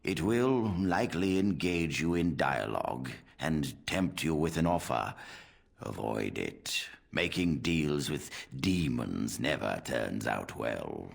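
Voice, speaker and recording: A man playing a grave, learned mage in a video game, speaking formally and deliberately in a gravelly voice. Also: a bass voice, big pitch swings, heavily acted. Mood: grave, measured. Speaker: game character.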